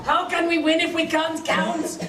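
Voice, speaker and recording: A woman voicing a gruff male Scottish clansman in a put-on Scottish accent, giggly. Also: very high-pitched, monotone, moderate pace, reverberant, far from the mic, a loud crowd. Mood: mock-gruff.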